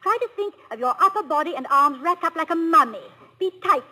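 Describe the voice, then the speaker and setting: An actress playing a poised, playful college president's wife in a 1950s radio comedy-drama, gracious and briskly instructive. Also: female voice, extremely high pitch, expressive, pushed and bright, heavily acted. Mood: brisk coaching. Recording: noisy old radio broadcast recording.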